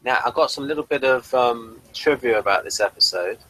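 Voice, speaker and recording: A man hosting a casual review show, explaining things in a halting, conversational way. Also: medium pitch, bright, forceful tone, breathy edge, poor audio.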